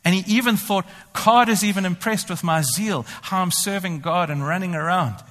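A man preaching with an animated, ironic delivery that switches into first-person boasting. His voice sits a little high for a man. The sound carries a long hall reverb, as if from a church. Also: normal speed. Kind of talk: sermon.

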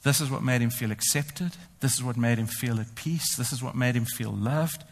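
A man's voice: medium pitch, normal speed, creaky. A man preaching a sermon. He talks animatedly, often speaking in the first person as the apostle and boasting ironically. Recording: long hall reverb.

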